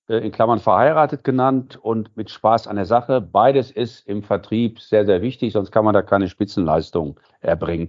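A man's voice: mid-range voice, a little mellow. A man hosting an interview, moderating in a conversational way as he addresses his guests. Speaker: interview host. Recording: dull lo-fi sound.

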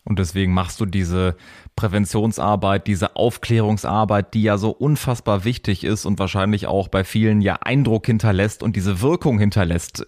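A man's voice: fairly low pitch, darkish tone, no room sound, clean studio sound. A man hosting a conversational podcast, speaking emphatically in long, flowing sentences. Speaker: podcast host. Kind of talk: conversational interviewing.

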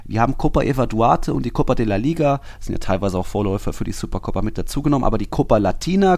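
A man's voice: on the low side, darkish tone, no echo. A man hosting a football podcast, explaining things in an informal, conversational way. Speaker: podcast host. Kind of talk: casual football podcast talk.

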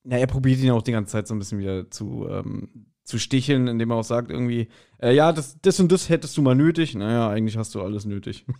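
A man's voice: mid-range voice, fast, creaky, warm tone. A man co-hosting a podcast, casually retelling a story and acting out the quotes as he goes. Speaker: podcast co-host. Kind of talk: casual chat, retelling an audio drama episode.

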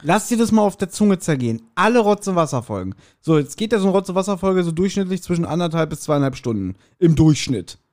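A man's voice: sits high, sing-song, quick pace, few pauses, a little mellow. A man of forty hosting a podcast in casual, joking, rambling German-style banter with lots of informal asides. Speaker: podcast host.

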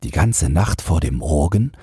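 A man's voice: a bass voice, very animated, measured pace, dark tone, slightly rough, dry recording, studio quality. A man narrating a fairy tale as a bedtime audiobook, reading from a script.

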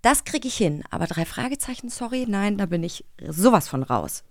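A woman co-hosts a podcast in a chatty, casual way. Her intonation swings in a lively manner and has noticeable creak. The sound is close and dry. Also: mid-range voice, moderate pace, no room sound. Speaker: podcast co-host.

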